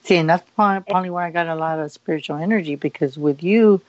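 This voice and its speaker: A man's voice: a little high, quick pace, no echo, muffled recording. A man working as a psychic medium on a live radio show, giving a hesitant reading full of fillers and repeated likes.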